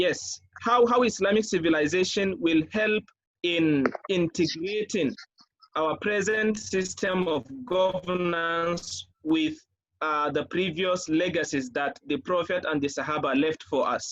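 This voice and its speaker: A man from South Africa calling in to a lecture with a question, courteous and halting. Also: high-pitched, fairly bright tone, no echo. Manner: courteous, halting, religious greetings. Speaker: caller. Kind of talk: asking a question on an online call. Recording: dry, muffled call audio.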